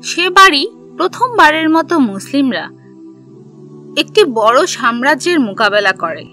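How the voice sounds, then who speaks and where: A woman narrating a scripted history story for children, telling it in simple, deliberate phrases. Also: high voice, very animated, pushed and bright, soft background music.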